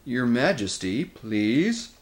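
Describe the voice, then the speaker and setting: Storybook bear, played by a man: elderly, slow-witted, sleepy, thick-tongued, earnestly polite. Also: medium pitch, very animated. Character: eldest bulgy bear, sleepy, simple, earnest, male.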